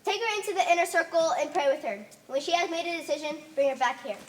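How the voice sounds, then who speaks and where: A woman's voice: sits high, expressive, fast. A young woman playing a stern, solemn religious leader in an amateur stage play, speaking in commanding, declamatory tones. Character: stern authoritarian female religious leader of a conformist society. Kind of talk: amateur one-act stage play acting. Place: theater stage.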